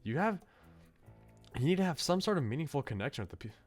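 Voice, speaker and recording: A man voicing a bookish, animated young philosopher. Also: fairly high pitch, voice pushed up. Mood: earnest, critical. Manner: articulate, philosophical, measured. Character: bookish, musing young male student, politely opinionated. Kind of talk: visual novel dialogue read aloud. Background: music.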